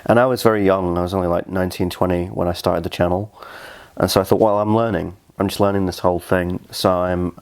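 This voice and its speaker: A man's voice: low-pitched, steady tone, quick pace, a little mellow, smooth. A young man with an English accent, speaking casually as a guest in an interview. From southern Scotland. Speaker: interview guest, fragrance reviewer.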